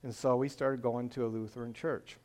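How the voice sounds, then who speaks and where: An older man with a Michigan accent shares his testimony at a middle pitch. He tells it earnestly, like a story, pausing often on "uh" and "um" as he goes. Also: flat delivery, a little mellow. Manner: earnest, storytelling, with frequent fillers. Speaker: church testimony speaker. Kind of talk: personal testimony.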